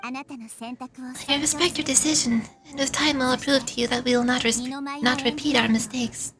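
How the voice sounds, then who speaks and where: A woman voicing a gentle, earnest young nature goddess from a game, speaking in a formal way. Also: high voice, steady tone, a little slow, music in the background. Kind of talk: game dialogue read aloud in character.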